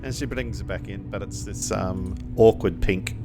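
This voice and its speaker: A woman's voice: very deep voice, normal speed. A woman improvising in a tabletop role-playing game, casual and hesitant as she searches for words. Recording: music behind the voice. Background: background music.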